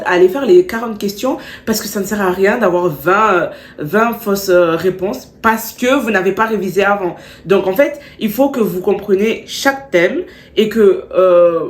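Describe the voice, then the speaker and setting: A young woman talks to her followers in a lively, slangy way, stacking repeated words for emphasis. Her voice sounds a little stuffy from a cold, sitting at a middle pitch. The room around her is echoey. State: stuffy, still has a cold. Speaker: beauty vlogger. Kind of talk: chatty storytime vlog with advice.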